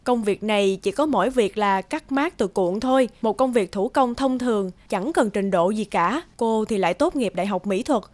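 A woman voicing a blunt, chatty office worker in an animated series. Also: mid-range voice, normal speed, hardly any pauses, dry recording, close to an everyday voice. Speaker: office coworker and manager. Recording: background music.

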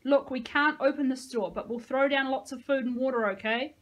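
A woman voicing a young male survivor who leads the group and shouts urgent orders. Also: mid-range voice, steady tone, a little fast, highs cut off, lightly acted. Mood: urgent shouting.

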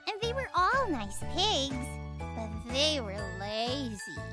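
A woman hosting a children's show, explaining a story slowly in a bright sing-song way. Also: sits high, very animated. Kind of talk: teaching children. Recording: voice-over with children's music.

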